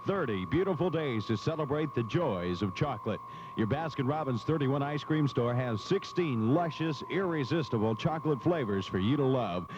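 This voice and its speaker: A man's voice: lively intonation, normal speed. A man announcing a 1970s radio commercial in a salesy, descriptive style. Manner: salesy, descriptive product pitch. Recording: dull vintage radio recording with music. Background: music.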